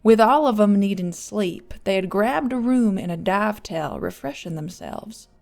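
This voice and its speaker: A woman's voice: medium pitch, sing-song, a little slow, creaky, darkish tone, faint music behind. A woman reading scripted audiobook narration as the storyteller.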